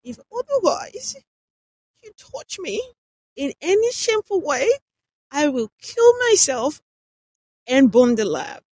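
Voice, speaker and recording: A woman reading aloud as a panicked female doctor who speaks high, breathless and near tears. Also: very animated, slow, long pauses, a little mellow, no echo, full character voice, rough recording. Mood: desperate.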